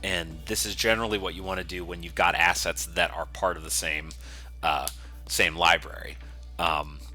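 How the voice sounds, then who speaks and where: A man's voice: sits low, sharp tone. A man giving a coding tutorial, explaining each step casually and methodically in an unscripted screencast style. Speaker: software developer giving a tutorial.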